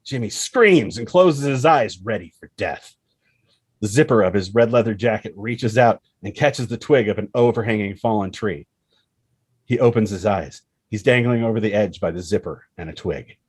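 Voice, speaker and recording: Man, low voice, slightly rough, dramatic script narration, punchy expressive phrasing, dry close sound. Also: moderate pace, no echo. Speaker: screenplay reader.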